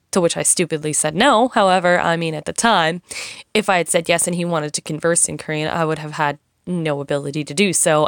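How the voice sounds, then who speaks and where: A woman's voice: low voice, vocal fry, no room sound, clean studio sound. A young woman talking casually and frankly, rambling and swearing as she vents. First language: English. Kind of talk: casual monologue, venting.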